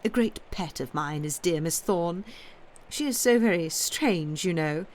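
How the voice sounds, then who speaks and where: A woman's voice: fairly low pitch, lively intonation, measured pace, darkish tone. A haughty Victorian countess from a novel, acted out by a woman reader. She drawls and gushes her society small talk, effusive and snobbish at once. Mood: gushing, snobbish. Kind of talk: audiobook character voice.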